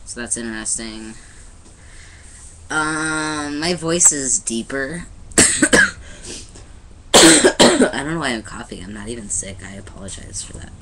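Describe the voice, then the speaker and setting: A man's voice: mid-range voice, very animated, unhurried, long pauses, noisy background. A young man talking casually to his camera in a personal video diary, rambling with many restarts and fillers. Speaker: vlogger. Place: indoors in front of a light.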